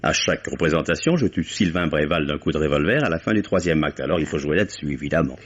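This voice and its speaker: A man's voice: a bass voice, fast talker, breathy edge. An actor playing a jovial, teasing male lead actor in an old French radio drama, genial and theatrical. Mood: bantering. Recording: dull old radio recording.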